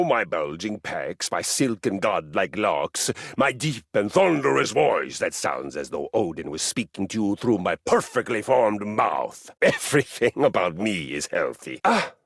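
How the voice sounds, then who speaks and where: Game role: vain, jovially bellicose male Viking warrior. Gravelly voice, unhurried grandiose delivery. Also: on the low side, a bit bright, no room sound, clean studio sound, exaggerated voice. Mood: self-admiring. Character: boastful, battle-hungry male Viking warrior, a personified treadmill. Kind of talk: theatrical video game character acting.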